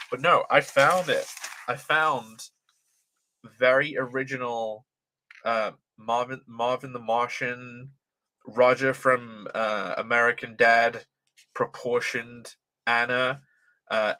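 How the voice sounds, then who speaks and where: A man's voice: mid-range voice, sharp tone, a little hiss or hum, poor audio. A young man from Britain with a light British, transatlantic-tinged accent, chatting casually on a livestream, reading chat aloud, swearing freely and laughing often.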